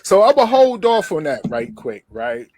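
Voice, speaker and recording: A man's voice: high voice, big pitch swings, moderate pace, a little mellow. A man hosting a casual radio interview, encouraging his guest with frequent affirmations. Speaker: radio show host.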